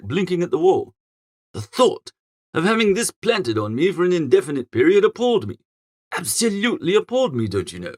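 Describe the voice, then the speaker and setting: A man playing a flustered, foppish young English gentleman who narrates a comic audiobook in a chatty, melodramatic, exasperated way. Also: high voice, no echo, clean studio sound, full character voice.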